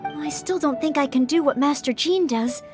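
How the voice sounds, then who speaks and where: A woman voicing a gentle, earnest young maid-knight girl in a video game, speaking politely and formally. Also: high-pitched, normal speed, over music, lightly acted.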